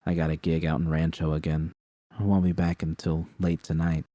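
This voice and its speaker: A man voicing a weary, blunt female mercenary in a story reading, in a flat and joyless manner. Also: extremely low pitch, a little fast, no echo, studio quality. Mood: glum.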